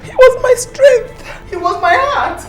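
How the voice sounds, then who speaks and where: A grieving young mother, very high voice, sobbing, slow and halting, lively pitch. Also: faint music behind, exaggerated voice. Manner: sobbing, pleading. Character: young woman grieving her lost son.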